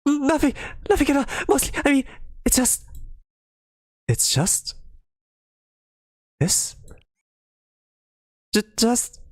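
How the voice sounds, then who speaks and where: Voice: sits high, very animated, slow pace, pauses often, no room sound, pitched above the performer's own voice, full character voice. A streamer voicing a timid, panicky game girl who stammers in a flustered way. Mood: panicked, flustered. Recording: music behind the voice.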